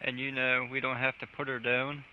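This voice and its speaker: A man's voice: mid-range voice, completely flat delivery, moderate pace, slight background noise. A man chatting casually and bluntly as he streams a game. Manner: blunt, sweary. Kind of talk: casual live stream chat. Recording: dull band-limited mic, game music behind.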